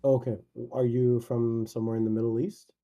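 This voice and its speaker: A man calling in to a live debate, asking probing questions in a halting way. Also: medium pitch, level tone, highs cut off. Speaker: caller.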